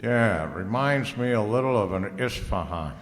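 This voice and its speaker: Man playing a low-voiced hardboiled private eye. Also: expressive, moderate pace, close to an everyday voice. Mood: casual, probing. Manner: dry, laconic, slangy. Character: wry, hardboiled male private detective narrator. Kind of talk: old-time radio noir acting and narration. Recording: reverberant hall, music underneath.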